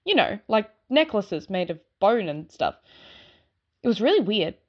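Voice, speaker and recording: A woman playing an excitable, dog-like young werewolf in an audio drama, talking in an informal, rambling way. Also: medium pitch, measured pace, lo-fi sound. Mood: puzzled storytelling. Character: excitable dog-like young female werewolf giving her statement. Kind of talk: audio drama acting, first-person storytelling.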